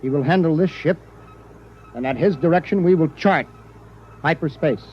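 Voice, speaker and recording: Male actor as principled space captain, vintage radio serial: commanding, slightly high voice, muffled recording. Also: moderate pace, lightly acted. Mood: firm, measured. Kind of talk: 1950s radio drama acting. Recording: muffled old radio broadcast, faint noise.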